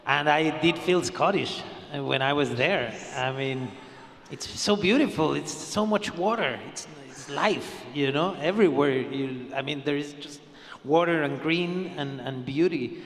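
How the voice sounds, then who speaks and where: Man with a Mexican accent and a gravelly voice. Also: on the high side, measured pace, fairly bright tone. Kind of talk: panel discussion. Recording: reverberant hall.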